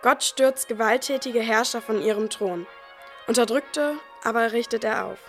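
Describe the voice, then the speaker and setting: A devout, wondering young mother-to-be , acted by a woman, tells her story in a fairly high voice. It is a nativity radio play monologue, with some music behind her. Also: normal speed, a bit bright. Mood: awed, happy.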